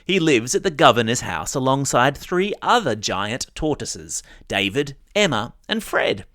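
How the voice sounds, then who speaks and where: A man's voice: mid-range voice, big pitch swings, measured pace, fairly bright tone, no echo, clean studio sound. An Australian man hosting a news podcast for children, reading scripted stories in a chatty, playful way and speaking straight to young listeners. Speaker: podcast host.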